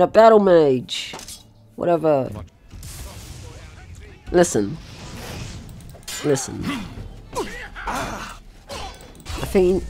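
Lively male gamer playing and commentating. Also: on the high side. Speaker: gaming video host. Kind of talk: casual let's play commentary.

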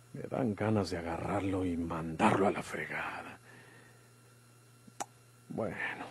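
Radio-play fugitive bandit: Mexican, deep, gruff, short-tempered, countrified. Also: male voice, exaggerated voice. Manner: gruff, rustic speech. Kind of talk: golden-age Mexican radio drama acting. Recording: old radio recording, faint hum.

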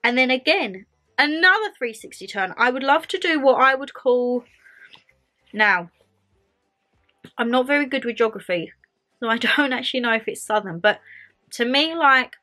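A woman's voice: fairly high pitch, bright, forceful tone. A young woman chatting casually to camera from her bedroom, bubbly and gesturing as she talks, still getting over a cold. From England. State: lingering cold. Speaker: vlogger. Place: bedroom, indoors.

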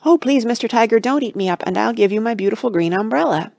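A woman's voice: mid-range voice, fairly quick, a little mellow, no echo, clean studio sound, lightly acted. A woman voicing a small, polite, earnest little boy in a children's storybook. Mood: pleading.

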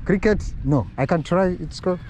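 A man's voice: high-pitched, normal speed, darkish tone. A young man from Kenya, a travel vlogger, chatting with locals on an outdoor football field into an action camera, friendly and repeating his thanks. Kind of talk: casual chat with locals. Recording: clear outdoor noise.

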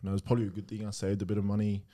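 A young man from New Zealand, a guest in a small studio chat, telling stories in a relaxed, slangy way. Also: lowish voice, rapid-fire. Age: young adult. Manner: relaxed, slangy, storytelling with laughs. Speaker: guest rugby league player. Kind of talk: casual interview chat. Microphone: studio mic on desk stand with headphones.

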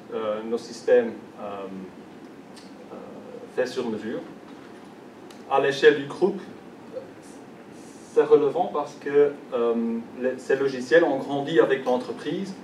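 A man's voice: mid-range voice, takes it slowly, pauses often, darkish tone, slight background noise. A young man with a Belgian French accent, French not his first language, giving a conference presentation with many fillers and restarts. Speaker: conference speaker. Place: large conference hall. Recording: echoey hall, distant mic.

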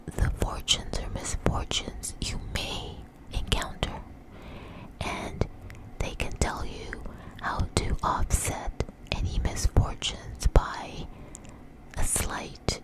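A woman telling a personal story in a close, breathy ASMR whisper, with halting, deliberate phrasing. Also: poor audio. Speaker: ASMR channel host. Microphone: close microphone.

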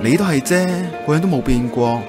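A man's voice: medium pitch, lively intonation, a little fast, far from the mic, close to an everyday voice. Guy acting wistful young hong kong cantonese man telling his story in a radio play, echoey w music behind. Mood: reflective. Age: young adult. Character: wistful young man recalling a childhood crush. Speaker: lead actor and narrator. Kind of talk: radio drama narration and dialogue. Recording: echoey, music bed underneath.

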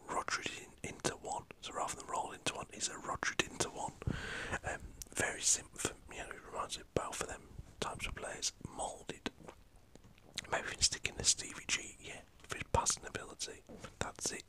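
A man's voice: moderate pace, pushed and bright, low-quality recording. A man from the north of England with a northern English accent, whispering a rambling, chatty football talk for an ASMR channel. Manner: rambling, chatty, swears mildly. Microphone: camera set up in front of him.

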